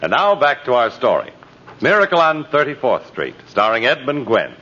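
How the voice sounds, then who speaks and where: A man's voice: medium pitch, wildly swooping pitch, normal speed, loud music behind the voice. A man working as a 1950s radio announcer, reading scripted copy in a polished, emphatic way. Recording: dull vintage radio broadcast recording.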